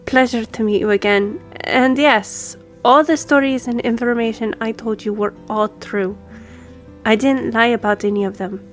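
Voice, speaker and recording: A woman's voice: on the high side, fairly even intonation, moderate pace, soft background music, close to an everyday voice. A woman playing a self-assured, friendly law firm boss in an audio roleplay, talking to the listener in a composed, personable way. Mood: friendly, explanatory.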